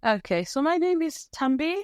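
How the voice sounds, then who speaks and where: A woman from Zimbabwe, a podcast guest, telling her own story candidly, with pauses and restarts. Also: high-pitched, sing-song, dry recording, clean studio sound. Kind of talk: personal storytelling interview.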